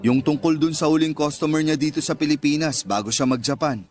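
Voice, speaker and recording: An actor playing a sincere, devoted young suitor in a radio drama, speaking earnestly and gently over music. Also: male voice, fairly high pitch, a little fast, lightly acted. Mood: reluctant, somber. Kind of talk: Tagalog radio drama acting. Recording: music behind the voice.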